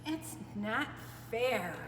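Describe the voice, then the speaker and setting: A woman's voice: a little high, animated, drawn-out words. A woman voicing a big, sulky male storybook rhino who mutters and whines in self-pity. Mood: sulky, indignant. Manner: muttering, whining, groping for words. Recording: echoey reverberant room, clear background noise.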